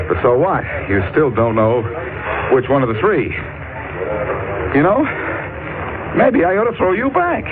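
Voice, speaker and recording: Sardonic, teasing male detective, very animated mid-range voice, thin 1940s radio sound. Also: on the high side, measured pace, long pauses. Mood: mocking. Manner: flippant, teasing, sarcastic. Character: wisecracking, cocky, sardonic amateur male sleuth. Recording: tinny, noisy old 1940s radio recording.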